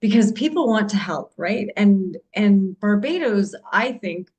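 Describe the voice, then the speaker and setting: A woman's voice: medium pitch, lively intonation, measured pace, darkish tone. A woman from Canada moderating a panel, summarizing points in an encouraging way with hesitant restarts.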